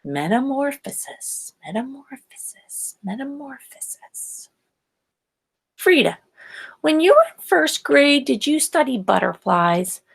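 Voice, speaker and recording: A woman's voice: sits high, very animated, slow pace, gravelly, pitched above the performer's own voice, heavily acted. Woman voicing an eager little storybook girl. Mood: curious, excited. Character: eager, proud first-grade girl who loves science. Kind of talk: children's storybook read-aloud.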